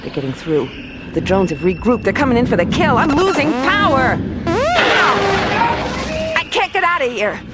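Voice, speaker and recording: A woman's voice: high-pitched, wildly swooping pitch, measured pace, heavy background noise, heavily acted. An actress playing a tough, wry female space detective in a radio play, delivering punchy first-person narration and sardonic lines. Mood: alarmed.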